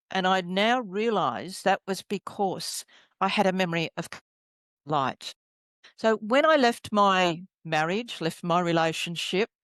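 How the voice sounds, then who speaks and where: A middle-aged woman, a healer guest, telling her story in a soft, rambling way as she searches for her words. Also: on the low side, clear, resonant tone, no echo. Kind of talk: reflective storytelling interview.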